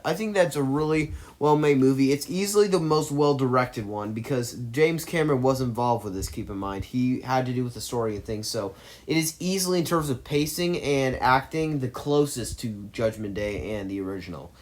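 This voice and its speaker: A man's voice: medium pitch, expressive, a little fast. A man in an opinionated movie commentary, arguing his case emphatically and rambling through restarts.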